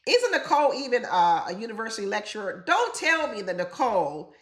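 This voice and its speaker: A woman's voice: a little high, sing-song, a little fast, roomy sound. A woman chatting straight to her viewers in an opinionated, chatty way, sounding congested from being sick. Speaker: reality TV commentator. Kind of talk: casual commentary chat.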